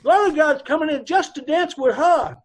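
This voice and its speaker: An actor playing a nervous middle-aged dance hall owner who rambles in loose, ungrammatical speech, in an old-time radio drama recreation. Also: male voice, extremely high pitch, fairly quick. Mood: anxious.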